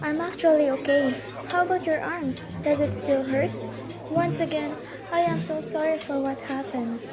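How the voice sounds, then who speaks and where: Polite, playful young lady, acted by a woman in radio drama; high voice over clear music. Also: steady tone, moderate pace, natural voice. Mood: apologetic. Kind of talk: student radio drama acting.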